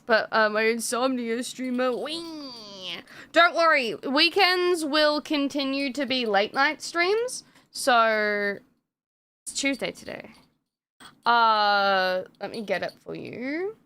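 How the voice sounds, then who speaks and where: A woman's voice: a little high, animated, slow, sharp tone. A young woman streamer chatting casually with viewers, swearing freely and laughing often. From Australia.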